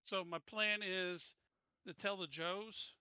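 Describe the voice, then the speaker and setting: A man's voice: sits high, fairly even intonation, slow pace, bright, forceful tone, smooth, dry recording, muffled recording. A man playing a tabletop roleplaying game, casually laying out his plans as a player.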